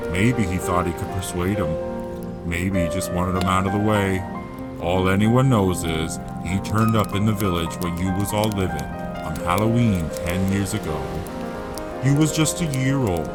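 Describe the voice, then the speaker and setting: Gruff, kindly giant, acted by a man, low-pitched rustic storyteller, protective and sorrowful, loud score and rain underneath. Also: natural voice. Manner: folksy, dropped words, rough grammar. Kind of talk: dramatised audiobook acting.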